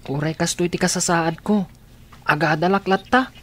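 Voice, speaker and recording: A woman's voice: lowish voice, moderate pace, natural voice. A woman playing an earnest, doubtful blind young boy in a radio drama, speaking plaintively. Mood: troubled, doubtful.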